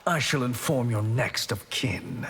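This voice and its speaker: A man's voice: mid-range voice, a little slow, fairly bright tone, soft background music. A man voicing a smug, amoral sorcerer villain in a video game, formal and mocking in manner. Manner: mocking, smooth-tongued, formal. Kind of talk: video game fighting character dialogue.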